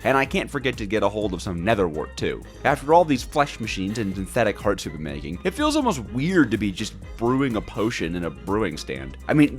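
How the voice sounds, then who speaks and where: A man narrating gameplay from a script in a theatrical, playful and wry manner. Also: on the low side. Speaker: gaming video host.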